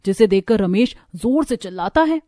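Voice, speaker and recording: A woman storyteller reading scripted narration for an animated tale. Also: medium pitch, moderate pace, no echo, clean studio sound.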